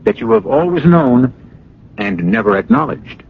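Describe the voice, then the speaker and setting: A man hosting an old radio mystery drama as a grave, philosophical narrator, with a measured, theatrical delivery. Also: sits high, big pitch swings, gravelly, a little hiss or hum, exaggerated voice. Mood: grave, musing. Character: grave, philosophical male mystery show host. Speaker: radio drama host and announcer. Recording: dull old radio broadcast, music behind.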